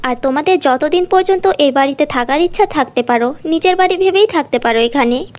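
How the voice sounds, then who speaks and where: A woman's voice: high-pitched, fairly quick, a little mellow, lightly acted. A woman playing a kind, forgiving young niece, polite and earnest, in a fairy-tale cartoon.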